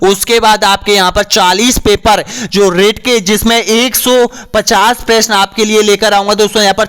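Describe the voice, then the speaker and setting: A slightly hoarse man teaching an exam-prep quiz lesson, asking each question and then giving the answer, with a frequent "friends, here" as a filler. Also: high-pitched, fairly bright tone. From Rajasthan, India. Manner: repeats "dosto yahan par", asks then answers.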